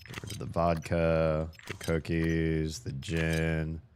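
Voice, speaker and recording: Young guy streaming a game, deep voice, kinda hoarse, mutters and cusses a lot. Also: monotone, takes it slowly, a little mellow, a little hiss or hum. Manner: chatty, swears a lot, talks to himself while playing. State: slightly hoarse. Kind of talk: casual gameplay commentary.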